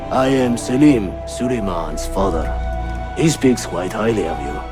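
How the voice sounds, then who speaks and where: An actor playing a stern, menacing Ottoman prince in a video game, speaking in a measured, formal, imperious way. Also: lowish voice, animated, over music. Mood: cold menace. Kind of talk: dramatic video game acting.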